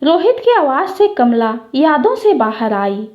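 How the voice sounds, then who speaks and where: A woman narrates an emotional scripted story. Her voice is high and animated, and she takes her time over the lines. The recording sounds slightly dull. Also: measured pace.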